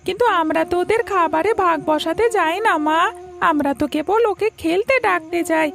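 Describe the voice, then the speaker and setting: A woman's voice: very high-pitched, brisk, vocal fry, fairly bright tone, exaggerated voice. A woman voicing an innocent, plaintive young village boy in a cartoon story, earnest and questioning. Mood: hurt, plaintive.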